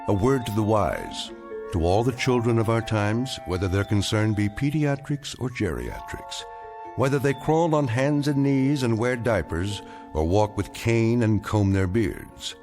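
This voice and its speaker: An actor as the measured, oratorical, solemn and wry narrator of a radio drama, over music. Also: male voice, fairly low pitch, animated. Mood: solemn, benevolent. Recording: music behind the voice.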